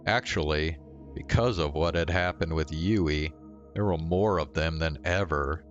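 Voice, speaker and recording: A man's voice: very deep voice, measured pace, dull audio. A man reading visual novel narration aloud in a dramatic first-person storytelling style. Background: faint game music.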